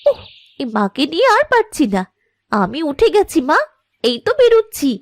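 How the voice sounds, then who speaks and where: A lazy young village daughter in a cartoon. Her voice is high and very animated. She complains in a whiny, dramatic way, like a spoiled girl avoiding chores. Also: normal speed, exaggerated voice. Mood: grumbling.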